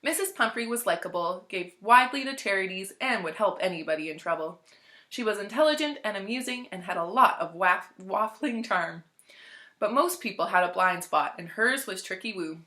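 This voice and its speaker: Bright-voiced woman reading a story aloud. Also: mid-range voice, animated, normal speed. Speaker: library storytime reader. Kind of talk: scripted narration, expressive story read-aloud.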